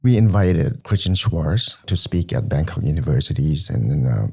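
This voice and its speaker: Very deep-voiced hesitant man. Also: very animated, normal speed, gravelly, darkish tone, breathy edge. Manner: hesitant, frequent repeats and "uh" fillers. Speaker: type foundry founding partner, guest. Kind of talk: casual podcast conversation.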